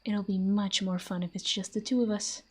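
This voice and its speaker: A woman playing a possessive, teasing fantasy villain in an intimate audio roleplay, with a soft, breathy voice and a smug manner. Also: medium pitch, flat delivery, normal speed, natural voice. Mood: jealous, teasing. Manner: smug, taunting, breathy murmurs. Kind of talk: intimate scripted audio roleplay addressed to the listener.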